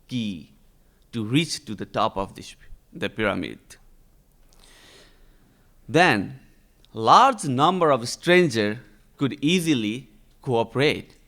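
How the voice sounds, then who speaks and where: A man from Nepal giving a conference lecture in English, speaking slowly and deliberately with frequent fillers and tag questions. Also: medium pitch, a bit bright. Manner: slow and deliberate, frequent "uh" and "right?". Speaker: researcher giving a talk. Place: conference hall.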